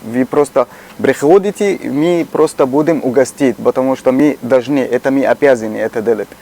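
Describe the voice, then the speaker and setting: A man with an Egyptian Arabic accent, a native Arabic speaker, talking earnestly in casual chat and pausing to find words. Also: mid-range voice, warm tone, a little hiss or hum. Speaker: shopkeeper.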